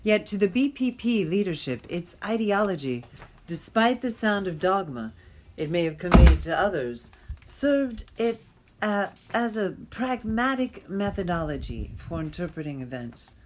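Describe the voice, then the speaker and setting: A woman reading an academic text aloud as an amateur, haltingly, often going back to repeat a phrase and correct herself. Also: mid-range voice, sing-song, a little mellow, slight background noise. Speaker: reader.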